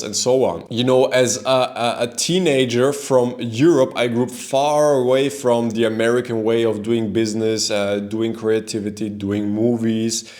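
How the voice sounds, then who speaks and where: Young austrian guy talking to camera, not a native english speaker, earnest and thoughtful, trips over words sometimes. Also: medium pitch. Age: young adult. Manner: earnest, thoughtful, with frequent restarts and fillers. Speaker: video creator and freelancer. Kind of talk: reflective talking-head vlog.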